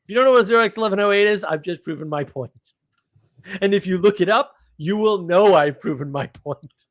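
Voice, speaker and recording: A man with a slightly high voice hosts a live stream in a casual, unscripted way. He rambles from thought to thought and states his opinions bluntly. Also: brisk.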